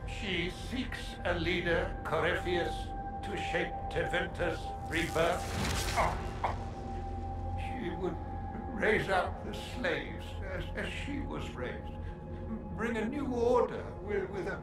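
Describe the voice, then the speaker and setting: An actor playing a half-mad captive scholar mage in a fantasy game, speaking haltingly in grand archaic phrases. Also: male voice, high-pitched. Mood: straining, agitated. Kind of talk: theatrical fantasy game acting. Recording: echoing voice under loud music.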